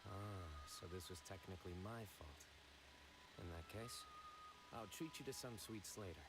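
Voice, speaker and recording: Low, deadpan, composed investigator. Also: male voice, a little hiss or hum, poor audio. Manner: deadpan, measured. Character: composed, dry-humored male investigator fond of puns.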